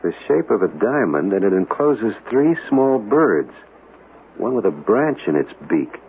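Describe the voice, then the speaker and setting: An intense, fascinated amateur photographer in an old radio drama. His low voice is earnest and musing, with animated inflection. The sound is dull and lo-fi. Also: a little slow. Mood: absorbed. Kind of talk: 1970s radio drama acting. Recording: dull lo-fi radio, faint noise.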